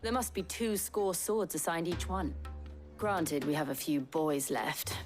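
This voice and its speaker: A woman's voice: low-pitched, animated, unhurried, soft background music. A woman playing a cool, sardonic mercenary type in a medieval fantasy game, with a dry delivery. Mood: haughty, teasing. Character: cool, sardonic female companion posing as mercenary commander. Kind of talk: dramatic video game cutscene dialogue.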